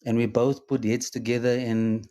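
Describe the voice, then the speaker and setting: South African man, mid pitch, brief, plain-spoken, call line. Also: little pitch movement, normal speed, mellow. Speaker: guest, business co-founder.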